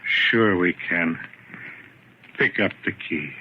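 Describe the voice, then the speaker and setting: Sinister gangster revenant, deliberate slow delivery, coaxing threat, muffled vintage radio drama recording. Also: male voice, sits low. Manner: slow, calm, coaxing menace. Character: menacing, vengeful gangster back from apparent death. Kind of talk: 1940s radio mystery acting. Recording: dull, noisy old radio recording.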